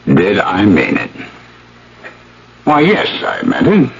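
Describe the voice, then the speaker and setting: An actor playing a spiteful, bitter husband with sardonic contempt, in an old-time radio drama. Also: male voice, low-pitched, huge pitch swings, takes it slowly, creaky, a crowd in the background, exaggerated voice. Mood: needling. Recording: muffled old radio recording with hiss.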